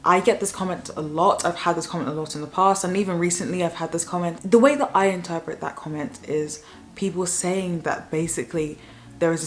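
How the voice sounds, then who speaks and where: A young woman with a Southern English accent talks straight to camera in an opinion vlog. Her voice sits low for a woman, and she gives her views frankly and plainly, in an easy, conversational way. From south of England. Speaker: YouTuber.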